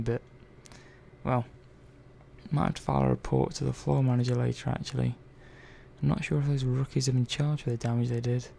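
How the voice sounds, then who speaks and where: A man's voice: mid-range voice, creaky, dark tone. A man playing a chatty, caring robot technician in an ASMR roleplay, talking hesitantly and reassuringly to one listener. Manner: hesitant, rambling, reassuring, murmured asides. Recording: faint background noise and ambience.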